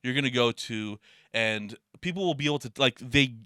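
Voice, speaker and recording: Rambling young man, bright crisp voice. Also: mid-range voice, normal speed, no room sound, studio quality. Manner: rambling, loose, swears a lot, many fillers and restarts. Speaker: podcast co-host. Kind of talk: casual chat.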